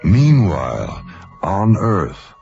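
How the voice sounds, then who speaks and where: Slow deep American narrator. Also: male voice, wildly swooping pitch, vocal fry, a little mellow, slightly rough, full character voice. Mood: solemn, ominous. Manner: measured, portentous. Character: grave male narrator of a space adventure serial. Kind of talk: dramatic scripted radio drama narration. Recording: dull vintage radio recording with music.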